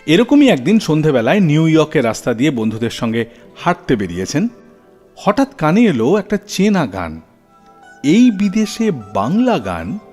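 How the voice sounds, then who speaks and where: A man hosting a radio show, telling scripted stories dramatically with deliberate pauses. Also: fairly high pitch, very animated, darkish tone. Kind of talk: scripted storytelling radio narration. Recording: long reverb, music bed behind. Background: music.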